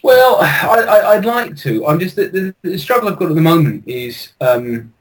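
Husky man with lively pitch. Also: normal speed, poor audio. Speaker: podcast co-host. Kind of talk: casual chat.

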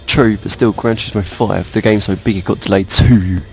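Low-voiced man bantering. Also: steady tone, a little fast, gravelly, soft background music. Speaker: podcast co-host. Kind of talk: casual banter among friends, with a dramatic reading.

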